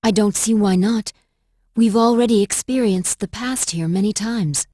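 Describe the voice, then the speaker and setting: A calmly resolute android girl acted by a woman with a slightly husky, mid-pitched voice. She speaks politely and precisely in an anime game dub, recorded dry over faint music. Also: moderate pace, no echo, lightly acted. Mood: matter-of-fact. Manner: precise, formal.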